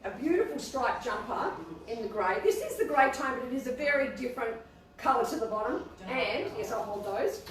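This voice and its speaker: Woman, quiet bubbly boutique co-host, slightly high voice, echoey room, faint hiss. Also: animated, a little fast, recorded from a distance. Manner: bubbly, rambling, self-deprecating. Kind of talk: casual live-stream chat. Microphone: no mic, far from laptop camera.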